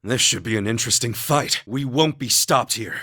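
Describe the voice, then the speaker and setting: An actor playing a calm, earnest young warrior in a video game, humble and loyal, with a measured, formal way of speaking. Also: male voice, medium pitch, husky. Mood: solemn, earnest. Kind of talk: scripted video game voice acting, battle cries and story dialogue.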